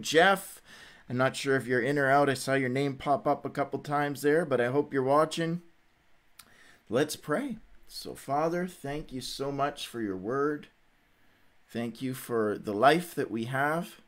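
A middle-aged man speaks in a medium-pitched voice to his livestream audience. His delivery is casual and conversational, in loose stop-and-start phrases, as he leads a devotional session. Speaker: host of a Bible read-along livestream.

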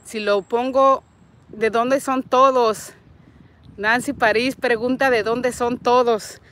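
A woman's voice: fairly high pitch, steady tone, slow, a bit bright. A woman in her forties from Nayarit with a Mexican accent, chatting affectionately on a livestream and reading viewers' comments, recorded outdoors on a phone with an earbud mic. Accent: Mexican Spanish. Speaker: vlogger hosting a livestream. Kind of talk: casual live chat, greeting viewers. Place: outdoors in a parking lot and a street. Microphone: wired earbud mic, phone at arm's length.

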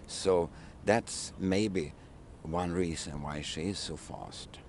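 A man, a ship captain speaking halting, word-searching English in an outdoor interview on a waterfront, into a handheld mic. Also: deep voice, darkish tone, a little hiss or hum. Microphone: handheld mic held by interviewer.

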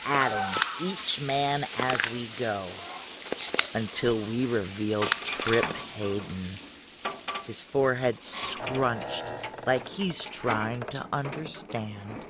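A fierce, grieving woman narrator of a noir sci-fi radio play. Her voice sits low and moves slowly and deliberately, with intensity. Heavy sound effects run behind her. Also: very noisy. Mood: hushed, intense. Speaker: lead actor and narrator. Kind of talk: noir audio drama narration.

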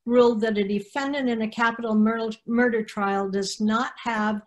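A woman's voice: a little high, fairly even intonation, moderate pace. An older woman speaking informally as a panelist on a video call, often searching for her words. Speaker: panelist, activist coordinator. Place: room at home, on a video call.

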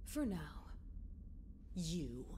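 A woman's voice: low voice, big pitch swings, extremely slow, noisy background, full character voice. A woman playing a menacing, contemptuous alien commander in a video game, with cold, imperious, theatrical delivery. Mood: contemptuous threat. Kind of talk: animated video game cutscene acting.

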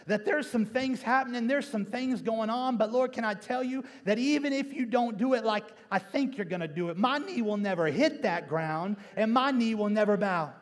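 A man's voice: high-pitched, normal speed, hardly any pauses. A young man preaching a church sermon emphatically, repeating key phrases for effect. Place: large church stage indoors. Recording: reverberant church auditorium sound.

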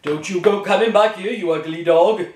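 Woman putting on a blustering, gruff storybook king. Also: mid-range voice, moderate pace, a little mellow, natural voice. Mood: angry. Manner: blustering, exclamatory. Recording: echoey room.

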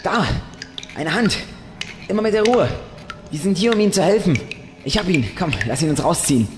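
A bold young swordsman played by a man in a fantasy audio drama, on the higher side in pitch. He is protective and wry. His voice rings in long reverb over clear background music. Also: animated, normal speed. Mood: urgent.

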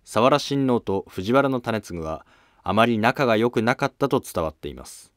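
A man's voice: mid-range voice, animated, moderate pace, dry recording, studio quality. A man reading scripted historical narration as the narrator of a drama.

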